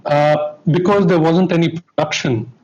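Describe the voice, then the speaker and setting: A man's voice: a little high, fairly even intonation, moderate pace, darkish tone, muffled recording. A man speaking on a conference panel, explaining at length and asking listeners to bear with him.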